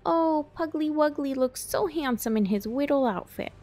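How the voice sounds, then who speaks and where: A woman's voice: high voice, lively intonation, normal speed, a little mellow, no room sound, voice pushed up. A woman playing a doting lady dog owner in a storybook, cooing cutesy baby talk to her pets. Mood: cooing, fussy.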